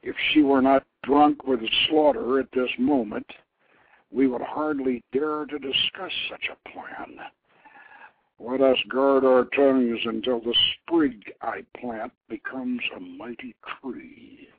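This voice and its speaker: A man's voice: medium pitch, steady tone, takes it slowly, a little hiss or hum. A man voicing a scheming young god, speaking in a guarded, conspiratorial way in a storybook reading. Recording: tinny phone line.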